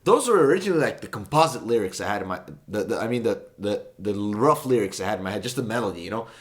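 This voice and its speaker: A young man from Saudi Arabia whose first language is Arabic talks through the story behind his song. His voice sits a little low. He wanders from point to point, corrects himself, and fills pauses with "um" and "like" in a mock-earnest tone. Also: lively intonation. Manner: meandering, self-correcting, many fillers, mock-earnest. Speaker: songwriter explaining his song. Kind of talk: casual rambling explanation.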